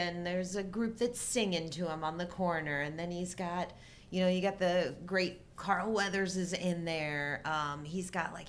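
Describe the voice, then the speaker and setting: A woman's voice: fairly low pitch, a bit bright, slight background noise. A middle-aged woman co-hosting a casual film chat, conversational and playful as she thinks aloud. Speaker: co-host of a live show. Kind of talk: casual chat about films.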